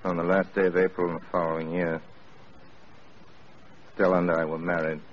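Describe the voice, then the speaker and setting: A man narrating an old radio drama in a measured, wistful, theatrical voice. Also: low-pitched, little pitch movement. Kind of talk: 1940s radio acting. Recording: dull old radio broadcast with loud hiss.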